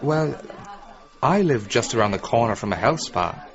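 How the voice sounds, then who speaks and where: An actor playing a flirtatious, attentive hairdresser with a coaxing, chummy manner in a radio comedy-drama. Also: male voice, medium pitch, expressive, moderate pace, lo-fi sound, natural voice. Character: smooth, flirtatious male hairdresser, gossipy and attentive.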